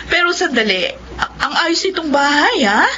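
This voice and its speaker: A woman's voice: high voice, big pitch swings, moderate pace, bright, forceful tone, dull audio, a little hiss or hum, full character voice. A woman acting an emotional, long-suffering elder sister in a Filipino radio drama. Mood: joyful, moved.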